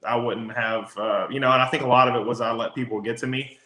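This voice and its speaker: A raspy-voiced man, a country singer, talks easily as a guest, with lots of fillers. His voice has a bright, forceful edge, and it rings in an echoey room. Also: mid-range voice, steady tone, fast talker. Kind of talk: casual interview chat.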